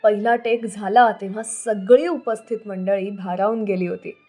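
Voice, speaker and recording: A woman's voice: mid-range voice, fairly even intonation, moderate pace, a little mellow. A woman hosting a radio show, narrating scripted stories about old songs. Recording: music playing under the voice. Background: background music.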